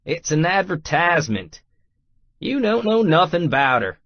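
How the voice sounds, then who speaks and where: A man acts out a teasing, rough-edged brother in an audiobook, skeptical and folksy. The voice is lifted above the narration, slow and dry, with a slightly dull sound. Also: fairly high pitch, big pitch swings, dry recording, voice pushed up. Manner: folksy, ungrammatical, needling. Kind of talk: audiobook character dialogue.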